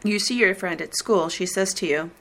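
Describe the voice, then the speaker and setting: A woman reading the script of a recorded listening exam in a slow, deliberate, evenly paced way. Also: on the low side, fairly bright tone. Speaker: exam reader.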